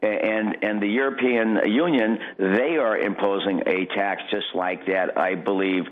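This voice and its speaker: Low-voiced man on a tinny phone line. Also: measured pace. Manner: opinionated, blunt, repeats phrases for emphasis. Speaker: political candidate, radio guest. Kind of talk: off-the-cuff political interview.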